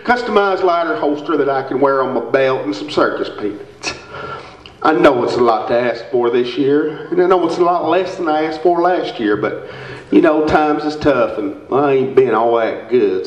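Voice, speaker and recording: A man's voice: mid-range voice, animated, moderate pace, natural voice. A man playing a folksy, matter-of-fact redneck reading out a letter in a live radio comedy. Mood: earnest, matter-of-fact. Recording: echoey, dull theater recording.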